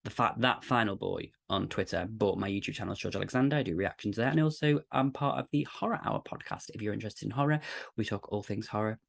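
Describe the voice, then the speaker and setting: A man's voice: on the low side, fast, vocal fry, a little hiss or hum. A man who podcasts and acts, giving casual, chatty interview answers. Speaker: cast member, podcaster.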